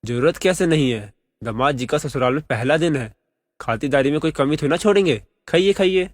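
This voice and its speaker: A man's voice: on the high side, animated, fairly quick, no room sound, studio quality, long reverb tail. A man voicing a pushy, hospitable middle-aged uncle in a family story. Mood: insistent hospitality.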